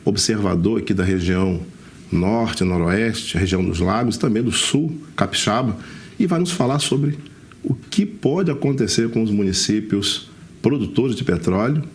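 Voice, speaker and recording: A man with a Brazilian accent hosts a broadcast interview. His voice is deep, and he presents as a TV interviewer would. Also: expressive, moderate pace. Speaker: interviewer, TV segment host.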